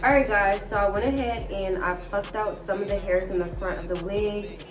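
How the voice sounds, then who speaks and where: A woman's voice: mid-range voice, normal speed. Stuffy-nosed woman chatting through a tutorial. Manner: chatty, keeps addressing viewers as guys. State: stuffy nose from a cold. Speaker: hair vlogger. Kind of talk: casual wig install tutorial. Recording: roomy sound from a distant mic with music. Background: background music.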